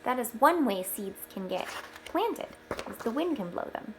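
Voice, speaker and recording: A woman reading a picture book aloud to children, expressively, pausing for explanatory asides. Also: fairly high pitch, a little hiss or hum. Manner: expressive, with hushed asides pointing things out.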